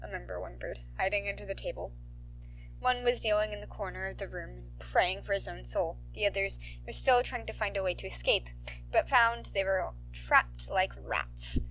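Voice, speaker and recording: A woman, an amateur narrator, reading fan fiction aloud in an expressive, informal style. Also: medium pitch, moderate pace, slight background noise, rough recording. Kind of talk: animated fan fiction narration, casual asides.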